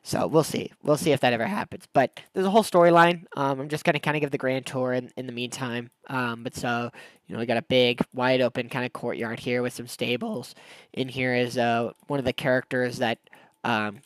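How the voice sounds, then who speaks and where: A man's voice: mid-range voice, steady tone, a little fast, no room sound. Young male game streamer, stuffed-up nose, loose rambling chat, dry close-mic sound. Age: young adult. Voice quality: congested, nasal from a cold. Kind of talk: casual livestream chat while gaming.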